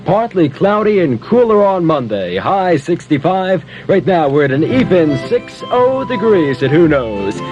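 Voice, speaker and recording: A man's voice: a little high, big pitch swings, a little slow, few pauses, a little mellow, highs cut off, soft background music. An American man in his twenties doing playful, chatty Top 40 disc jockey patter on a vintage 1970 radio aircheck. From Philadelphia, United States. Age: 20s. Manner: playful, chatty, with interjections and asides. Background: pop records playing under the voice.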